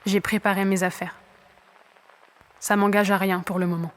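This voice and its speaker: A woman's voice: mid-range voice, flat delivery, moderate pace, natural voice. A woman playing an embattled, doubtful young athlete in a French audio drama, earnest and colloquial. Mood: melancholy, reflective. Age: young adult. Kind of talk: naturalistic French audio fiction acting.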